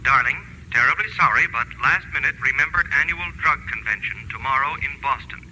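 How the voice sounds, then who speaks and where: An actor playing a formal, impersonal telegraph clerk reading out messages in an old-time radio drama. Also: male voice, mid-range voice, fairly quick, bright, forceful tone, a little hiss or hum, poor audio. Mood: impersonal, official. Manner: businesslike, formal. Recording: old radio recording, faint music.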